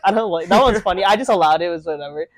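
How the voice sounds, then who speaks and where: A man's voice: high voice, rapid-fire, crowd noise. Laughing young man telling stories. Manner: laughs often, rambling storytelling. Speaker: podcast host. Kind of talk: casual chat among friends.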